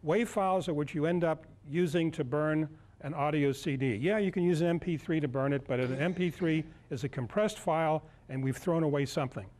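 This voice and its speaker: A man in his sixties giving an informal live demonstration as a lecturer, halting as he searches for words. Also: a little high, a little mellow. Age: 60s. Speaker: lecturer at a club workshop. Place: indoor meeting room, speaking to a group.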